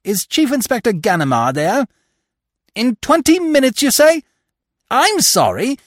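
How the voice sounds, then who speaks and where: A man's voice: extremely high pitch, wildly swooping pitch, measured pace, no room sound, voice pushed up, heavily acted. A vengeful, half-demented widow in a storybook melodrama, played by a man. The voice swings wildly from hissed menace to agitated outcry. It is theatrical and menacing, with a very dry sound. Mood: agitated, imperious.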